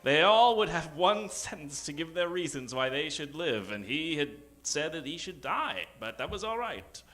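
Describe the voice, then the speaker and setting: A man's voice: on the high side, very animated, moderate pace, a bit bright, soft background music. A man narrating and announcing an improvised old-time radio comedy in a theatrical, dramatic way.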